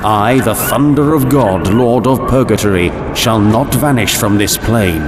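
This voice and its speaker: A man's voice: lowish voice, unhurried, close to an everyday voice. A man playing a proud, villainous fallen archangel in a video game, pompous and commanding. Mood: imperious outrage. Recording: dramatic music behind the voice.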